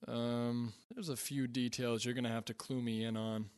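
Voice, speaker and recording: A man's voice: medium pitch, flat delivery, moderate pace, dry recording, clean studio sound, close to an everyday voice. An actor playing a bewildered everyman inventor, hesitant, questioning and a bit clumsily flirtatious, in a sci-fi audio drama. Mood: confused.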